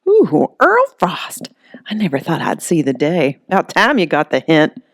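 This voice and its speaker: A woman's voice: medium pitch, very animated, normal speed, no echo, clean studio sound, voice pushed up. A woman voicing Holly, a brassy, flirty, tough woman who loves pink, with a sassy drawl. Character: brassy flirty middle-aged woman, pink-loving trailer owner, tough.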